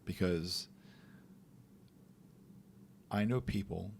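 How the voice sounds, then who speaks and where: Low-voiced man in relaxed podcast talk. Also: drawn-out words, creaky, a little hiss or hum. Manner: relaxed, reflective, acts out quotes. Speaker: podcast co-host. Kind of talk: casual faith talk.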